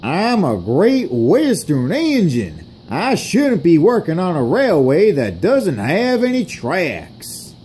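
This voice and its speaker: A man's voice: fairly high pitch, wildly swooping pitch, takes it slowly, hardly any pauses, a little mellow, a little hiss or hum, voice pushed up, exaggerated voice. A man voicing a proud, grumbling male railway engine with a Southern accent in a storybook parody. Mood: indignant.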